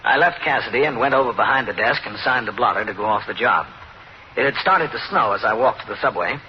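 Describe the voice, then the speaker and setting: An American actor playing a steady, terse police captain who also narrates an old-time radio crime drama. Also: male voice, mid-range voice, quick pace, natural voice. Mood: measured narration. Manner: terse, matter-of-fact. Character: steady male police captain, weary, plain-spoken narrator. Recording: muffled, noisy old radio recording.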